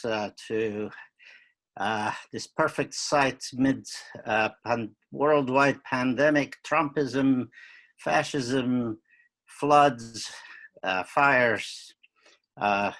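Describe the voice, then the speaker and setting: An older man from Romania giving wry, deadpan opening remarks with many hesitations, on a webcam video call from a book-lined study at home. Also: mid-range voice. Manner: wry, deadpan humor with frequent uh fillers. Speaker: curator and poet. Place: home study with bookshelves, indoors.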